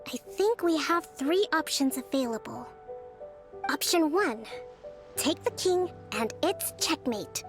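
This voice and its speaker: An actress playing a calm, shrewd young woman who leads and strategizes in a video game, speaking in a measured, deliberate way. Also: sits high, over music. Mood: calm, analytical. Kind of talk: scripted video game character dialogue.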